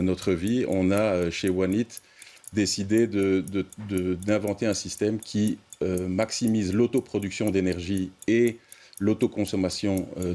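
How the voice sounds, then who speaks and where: A man, a start-up founder explaining his product haltingly, with many restarts and fillers. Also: low voice, warm tone.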